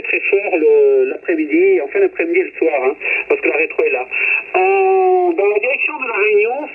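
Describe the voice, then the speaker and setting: A man's voice: sits high, slightly rough. A man chatting casually as an amateur radio operator over a radio link, often tagging his phrases with small checking words. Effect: radio transmission. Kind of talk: casual radio contact chat. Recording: muffled radio transmission.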